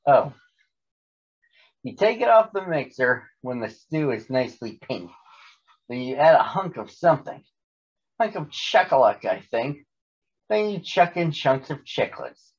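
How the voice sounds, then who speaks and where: A man's voice: mid-range voice, very animated, a little slow, pauses often, slightly rough, highs cut off, heavily acted. A man voicing a smug, chatty male storybook monster who gabs breezily and is devoted to his mother. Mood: breezy, instructing. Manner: gabbing and jabbering, breezily dismissive.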